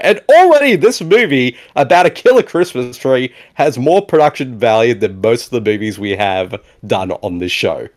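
A man hosting a casual movie commentary podcast, animated, often answering with a repeated "exactly" or "indeed". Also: medium pitch. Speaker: podcast host.

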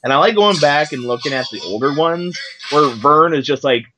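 A man co-hosting a casual movie commentary podcast, joking and quick to laugh. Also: a little high, expressive, bright, forceful tone, low-quality recording. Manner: joking, quick to laugh, does silly voices. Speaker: podcast co-host.

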